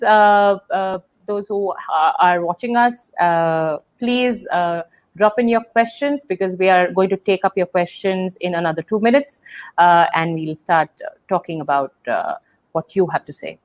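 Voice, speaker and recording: A woman's voice: on the low side, expressive, a little slow. Hesitant woman hosting a video-call webinar. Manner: thoughtful, many fillers and restarts. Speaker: webinar host. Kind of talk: casual webinar conversation.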